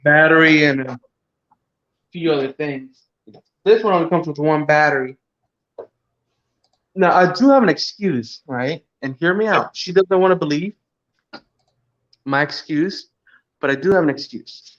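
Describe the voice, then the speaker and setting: A man's voice: fairly high pitch, a little slow, pauses often, a bit bright. A man from Cuba hosting a casual livestream, rambling and joking, chuckling as he talks. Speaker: livestream host. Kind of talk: casual chat. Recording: dull lo-fi stream audio.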